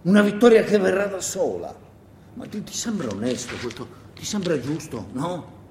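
A wheedling rural man in a stage drama, his voice a bit high for a man. He rambles, stammers and repeats himself to persuade. The sound is echoey, with long hall reverb. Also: sing-song, moderate pace, a little hiss or hum. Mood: coaxing, insistent. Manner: hesitant, repetitive, coaxing, full of asides. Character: wheedling, rambling country man urging a young partisan to hide. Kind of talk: theatrical monologue, radio stage drama.

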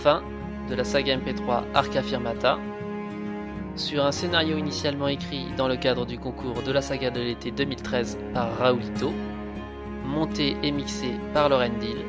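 A man with a mid-pitched voice reads out scripted credits as an announcer. Loud music plays behind him throughout. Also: fairly even intonation, moderate pace. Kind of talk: scripted narration of end credits.

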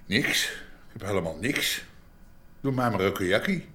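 An actor playing a gruff, middle-aged underworld boss in a radio drama, blunt and domineering. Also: male voice, on the low side, lively intonation, normal speed, a bit bright, slight background noise. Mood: grumbling, settling down. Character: gruff middle-aged Amsterdam underworld boss, short-tempered but fatherly. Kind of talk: naturalistic radio drama acting.

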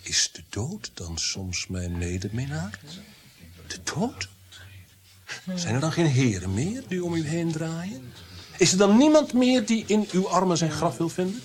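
An actor playing a jealous, tyrannical Renaissance prince in a radio drama, theatrical and mocking, moving between hushed menace and outbursts. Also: male voice, a little high, sing-song, measured pace. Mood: mocking, provoking.

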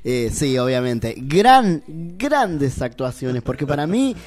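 A man's voice: on the high side, big pitch swings, slow pace, darkish tone. A middle-aged man co-hosting a radio show, chatty and playful, laughing often. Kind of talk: casual radio chat.

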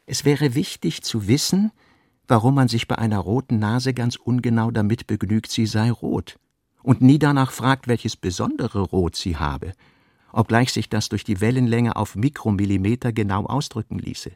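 A man reading literary prose as a radio play narrator, measured and articulate. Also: medium pitch, darkish tone, no echo, clean studio sound.

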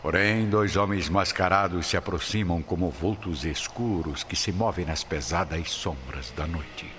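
A man's voice: low-pitched, unhurried. A Brazilian man giving solemn, literary narration for a radio drama audiobook. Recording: dull audio under loud dramatic music.

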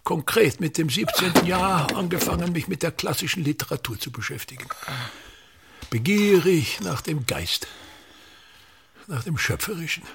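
A man's voice: fairly high pitch, expressive, moderate pace, creaky, background noise. An older actor in a radio play, playing a pompous, embittered old touring stage actor and tyrannical father who declaims and complains theatrically. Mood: disgusted, ranting.

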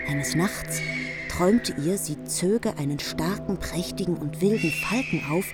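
Low-voiced saga storyteller. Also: female voice. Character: composed female storyteller of a medieval heroic saga. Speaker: narrator of a radio play. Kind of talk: literary radio-play narration in modern prose.

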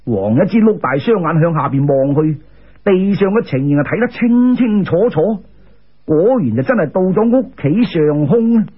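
A man's voice: sits high, lively intonation, moderate pace, husky. A man with a Cantonese accent narrating a folk tale dramatically, in an emphatic, colloquial storyteller's manner. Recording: muffled lo-fi radio-style recording.

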